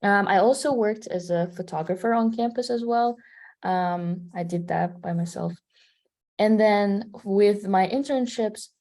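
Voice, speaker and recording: A young woman in her twenties from Kazakhstan, answering questions as a student panelist in a relaxed, conversational way. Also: fairly low pitch. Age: 20s. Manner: conversational, thinking aloud between phrases.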